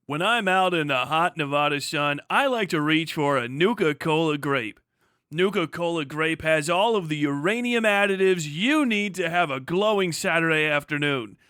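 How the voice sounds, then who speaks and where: A man putting on a cheery retro-futuristic soda commercial pitchman, with a slow, hammy mock 1950s advertising delivery. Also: lively intonation, pushed and bright, clear, resonant tone, no room sound, clean studio sound. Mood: folksy salesmanship.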